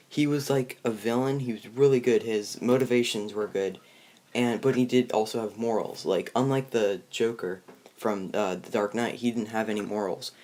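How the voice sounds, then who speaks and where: A young man hosting a casual review chat, talking in a halting, rambling, informal way. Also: mid-range voice, darkish tone. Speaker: host of a movie review video.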